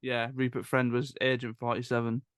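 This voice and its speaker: A man with a medium-pitched voice hosts an informal podcast. He talks in a casual, opinionated way, recorded dry with hardly any room sound. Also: monotone, a little fast, smooth, dry recording. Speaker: podcast host.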